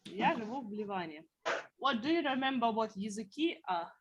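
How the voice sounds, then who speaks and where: A woman's voice: on the high side, lively intonation, moderate pace, highs cut off, a crowd in the background. A woman teaching a beginner language class, patiently explaining words one at a time.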